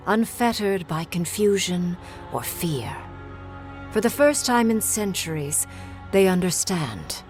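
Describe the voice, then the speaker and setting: A woman narrating a fantasy video game epilogue as a solemn storyteller, measured and expressive. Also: on the low side. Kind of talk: scripted second-person fantasy game narration.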